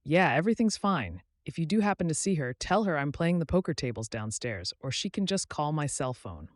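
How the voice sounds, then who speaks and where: A man acts out an easygoing boyfriend in an audiobook story, casual and brisk in manner. The voice is a bit high and lively. It is recorded dry and close. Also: dry recording. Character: casual boyfriend searching for his girlfriend.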